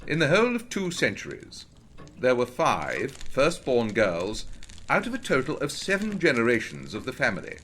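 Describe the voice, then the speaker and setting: An actor playing a formal Edwardian gentleman ghost investigator, telling his ghost story in a deliberate, ominous and grave manner. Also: medium pitch, a little hiss or hum, exaggerated voice. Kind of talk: dramatic first-person storytelling of a ghost story.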